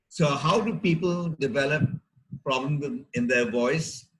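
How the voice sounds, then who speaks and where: A middle-aged man with an Indian accent, a doctor giving a health talk to teachers in a deliberate, measured, explanatory way with pauses between phrases. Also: mid-range voice, low-quality recording.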